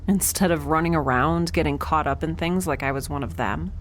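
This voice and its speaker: A woman's voice: sits low, a little fast, slight background noise, natural voice. A woman playing a quirky, nervous scientist aboard a spaceship in an audio drama, rambling with sarcastic, self-deprecating humor. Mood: dejected, self-deprecating. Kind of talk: conversational audio drama acting.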